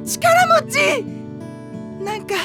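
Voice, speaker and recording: A coy teenage club manager girl , put on by a man for an anime audio drama. She is exaggeratedly cute, speaking in a slow, extremely high voice over loud music. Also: animated, full character voice. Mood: coy, admiring.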